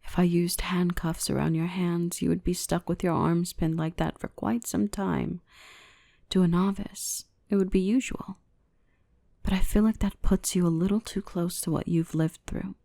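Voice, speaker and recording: Gentle dominant dark elf , played by a woman: low, breathy-soft ASMR murmur with creaky edges, tender and reassuring. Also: little pitch movement, no room sound, studio quality. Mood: soothing, careful. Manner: soothing, unhurried, reassuring, often whispering. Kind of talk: ASMR roleplay, softly whispered and spoken.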